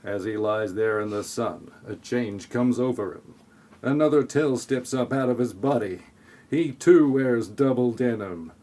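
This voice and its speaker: Deadpan man narrating an audio play. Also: medium pitch, measured pace. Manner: deadpan, sarcastic asides. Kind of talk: tongue-in-cheek scripted audio play narration.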